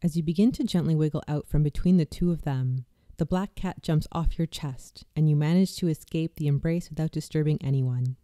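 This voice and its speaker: A meditation guide narrating a guided visualization in a slow, soft, soothing delivery with hushed moments. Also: mid-range voice, no room sound.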